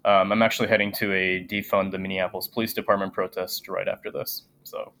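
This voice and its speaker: A young man and teacher, a guest, talking in a soft, filler-heavy way over a remote call. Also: sits low, little pitch movement, a little fast, a little hiss or hum. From Japan. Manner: soft-spoken with many filler ums. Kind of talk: casual interview conversation.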